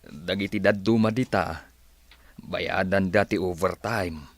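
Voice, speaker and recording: Man as hard-up labourer father, plaintive, deep voice, radio drama acting. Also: measured pace, creaky, no room sound, heavily acted. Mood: complaining. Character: poor, underpaid farm labourer and family father.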